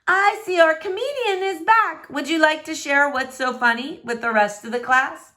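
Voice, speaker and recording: A woman's voice: high-pitched, normal speed, pushed and bright, reverberant, voice pushed up. A woman voicing a prim, sarcastic female English teacher with exaggerated, emphatic classroom delivery in a read-aloud story.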